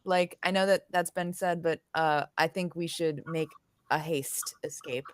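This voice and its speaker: A woman playing in a tabletop role-playing game over a voice call, deliberate and explaining. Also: sits low, fairly even intonation, no echo, studio quality. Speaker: player. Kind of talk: casual tabletop game chat.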